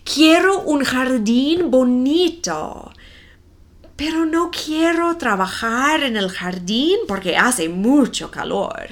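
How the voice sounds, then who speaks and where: A woman in her forties with a non-native accent, reading a simple story slowly and carefully for language learners. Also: high voice, animated. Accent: non-native Spanish accent. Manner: careful, deliberate reading with clear pauses. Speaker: Spanish teacher.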